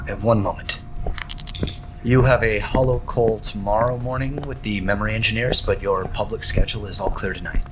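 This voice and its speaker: A man's voice: on the low side, steady tone, normal speed, noisy background, close to an everyday voice. An actor playing a protective, officious male assistant to a scientist in an audio drama, speaking in a fussy, formal way. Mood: businesslike.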